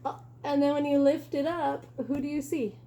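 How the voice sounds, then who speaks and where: A woman reading a children's story aloud expressively, in an animated way, pausing for asides to young listeners. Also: sits high, a little hiss or hum. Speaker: teacher reading a picture book aloud.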